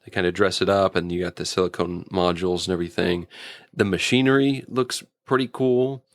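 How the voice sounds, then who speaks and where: Low, gravelly man chatting casually. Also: fairly even intonation, a little fast, dry recording. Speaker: podcast guest reviewer. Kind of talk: casual episode review chat.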